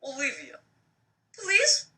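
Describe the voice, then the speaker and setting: Lady doing a posh-mannered young receptionist, high bright voice, polite but stern, storybook reading, bit far from mic. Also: wildly swooping pitch, slow, exaggerated voice. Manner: polite, crisp, formal receptionist talk. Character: elegant young blonde female assistant, polished, stern, officious. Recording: distant microphone on live stream.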